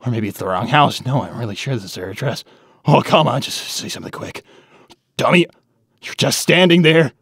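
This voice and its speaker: A man's voice: mid-range voice, fairly quick, vocal fry, a bit bright, breathy edge, no room sound, clean studio sound. A man voicing a shy, nervous, lovestruck young hedgehog with a stammering, self-scolding manner in a comic dub. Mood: panicked. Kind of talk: animated comic dub acting. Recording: music bed behind voice.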